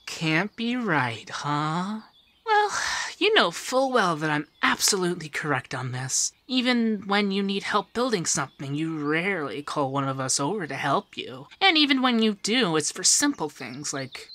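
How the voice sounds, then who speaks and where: Man acting a slow, softspoken feminine monster boy. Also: a little high, heavily acted. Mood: fond scolding. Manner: breathy, often dropping to a whisper. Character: doting, teasing feminine male monster companion, softspoken. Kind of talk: softspoken ASMR roleplay.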